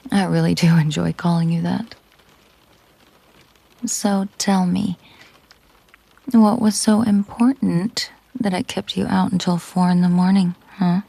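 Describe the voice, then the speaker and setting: A woman playing a possessive, coolly menacing mafia boss girlfriend in an intimate audio roleplay, teasing and commanding in a breathy, husky murmur. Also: lowish voice, dark tone, no room sound, exaggerated voice. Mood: teasing, probing.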